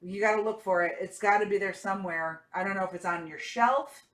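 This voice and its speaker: Echoey room, distant mic, mid-pitched voice, salesperson, conversational sales call, persuasive explaining. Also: a little fast, fairly bright tone. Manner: persuasive, explanatory, checks in with "right?". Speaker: car finance salesperson and sales trainer.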